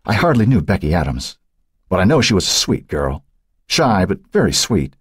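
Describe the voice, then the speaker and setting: A man reading the part of a fearful, troubled woman under questioning, halting and husky. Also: low-pitched, sing-song, no room sound, full character voice. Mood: hesitant. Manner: halting, pleading, turning angry.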